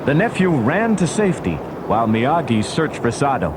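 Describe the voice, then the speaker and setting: A man's voice: mid-range voice, big pitch swings, moderate pace, very noisy. A man narrating a children's story recording from a script in a dramatic storytelling style.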